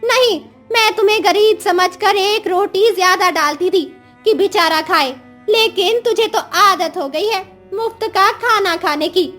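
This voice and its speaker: A woman's voice: extremely high pitch, a little slow, fairly bright tone, soft background music, pitched above the performer's own voice. A woman voicing an irritable, complaining village woman who sells tiffin meals in a cartoon story. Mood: annoyed, scolding. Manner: scolding, complaining.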